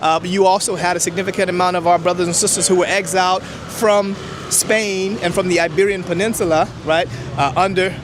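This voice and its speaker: A man's voice: high voice, fairly even intonation, fairly quick, few pauses, noisy background. A man whose first language is Hebrew, explaining history emphatically in an interview outdoors. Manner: emphatic, lecturing, with many "right?" checks. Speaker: interview guest.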